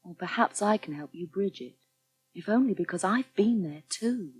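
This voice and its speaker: Female counsellor, frank and reassuring, lively intonation, slightly husky, naturalistic radio soap. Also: medium pitch, normal speed, dry recording, poor audio. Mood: gently probing. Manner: reassuring, conversational.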